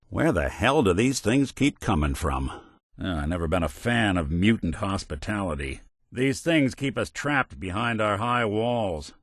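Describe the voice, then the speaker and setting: An actor playing a world-weary, middle-aged synthetic detective in a video game, with an American accent and a wry, deadpan hard-boiled delivery. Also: male voice, sits low, no room sound, studio quality, exaggerated voice. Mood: wry, observant, then dry, joking. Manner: wry, deadpan, sardonic asides.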